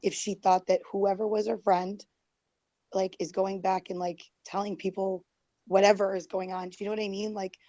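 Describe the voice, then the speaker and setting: A woman's voice: mid-range voice, level tone, moderate pace, mellow, no echo, dull audio. A woman who is losing her voice, talking defensively and rambling with many fillers on a group call. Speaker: guest. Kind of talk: heated online group call.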